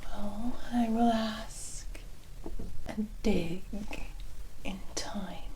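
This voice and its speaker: Woman playing a slow, whispering, mysterious witch. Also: medium pitch, fairly even intonation, background noise. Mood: sly, bargaining. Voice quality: whispered, breathy. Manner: slow, deliberate, coy, theatrical, addressing the listener as "my dear". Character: mysterious, sly, teasing woman witch who bargains. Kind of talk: whispered ASMR fantasy roleplay.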